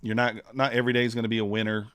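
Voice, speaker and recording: A man's voice: mid-range voice, flat delivery, racing, fairly bright tone, clear, resonant tone, dry recording, studio quality. A man streaming live, chatting casually and thinking aloud with many fillers as he trades. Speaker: streamer trading futures live.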